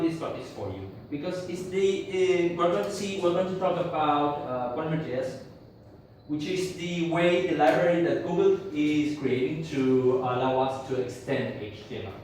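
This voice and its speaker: A man's voice: mid-range voice, darkish tone, a little hiss or hum. Argentine man lecturing in an echoey hall. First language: Spanish. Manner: halting, many fillers and restarts. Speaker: conference speaker. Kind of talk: tech talk to an audience. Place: conference room. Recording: echoey hall, speaker far from mic.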